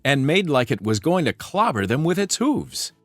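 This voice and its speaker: Male narrator, children's read-aloud, highly animated intonation, medium pitch, light music underneath. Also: moderate pace, dry recording. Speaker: storybook narrator.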